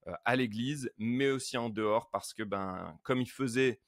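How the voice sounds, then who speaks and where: A man's voice: medium pitch, moderate pace, dry recording, studio quality. A middle-aged man from northern France, chatting casually as an interview guest, often feeling his way through phrases. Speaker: podcast guest, worship pastor.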